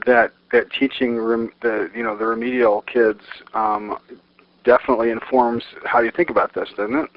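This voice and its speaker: A man's voice: mid-range voice, normal speed, gravelly. A man interviewing someone conversationally, musing in fragments and reflecting their answers back to them. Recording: dull lo-fi interview audio.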